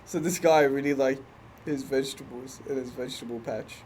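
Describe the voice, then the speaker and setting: A young man in casual podcast chat, loud and skeptical, quick to push back. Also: mid-range voice, steady tone, slight background noise. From Australia. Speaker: podcast host.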